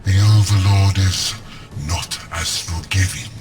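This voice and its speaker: A man voicing a menacing, commanding dark villain in a video game, with an imperious, theatrical delivery. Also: low-pitched, steady tone, a little slow, music in the background. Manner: imperious, threatening. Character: menacing, commanding male dark overlord's enforcer.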